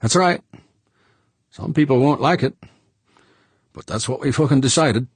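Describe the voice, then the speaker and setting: A man voicing a gruff, worried male colony leader who speaks bluntly and curses. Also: mid-range voice, a little slow, no room sound, pitched above the performer's own voice. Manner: blunt, curt, swears.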